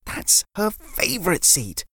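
A woman voicing a young girl who thinks fast and talks excitedly. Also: lowish voice, vocal fry, husky, no room sound, voice pushed up, heavily acted. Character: quick-thinking little girl.

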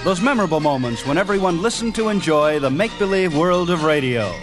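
A man's voice: on the high side, animated, quick pace. A man hosting a radio show, reading a scripted introduction. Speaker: radio host. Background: music.

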